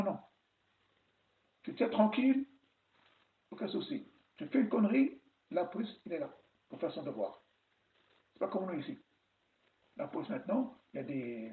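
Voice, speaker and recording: A man from France giving casual advice about settling abroad, talking in an animated way and often restarting his sentences. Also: on the high side. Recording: echoey room, phone-like lo-fi sound, faint hiss.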